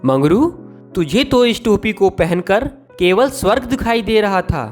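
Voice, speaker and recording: A vain king from a cartoon tale. His pitch is high and he boasts grandly, drawing it out at a slow pace. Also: animated, darkish tone, soft background music. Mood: boastful delight. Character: vain boastful male maharaja. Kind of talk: cartoon story dialogue.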